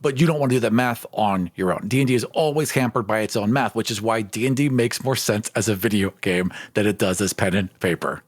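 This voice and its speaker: A man's voice: fairly low pitch, fairly quick, few pauses, no echo. A man co-hosting a podcast, chatting casually about games in an opinionated, emphatic way. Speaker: podcast co-host.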